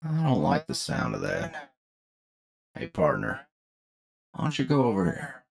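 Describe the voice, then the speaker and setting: A man playing a gruff, wary crew leader in an audio drama, terse and folksy in his talk. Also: mid-range voice, sing-song, a little slow, a crowd in the background. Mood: urgent, commanding. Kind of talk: anime audio roleplay acting.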